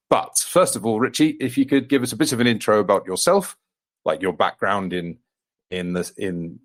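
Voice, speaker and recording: A man with a fairly low voice hosts a podcast interview. He sounds enthusiastic and encouraging, and he keeps the talk moving with quick replies of agreement. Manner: enthusiastic, encouraging, with frequent backchannels. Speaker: podcast host, co-founder.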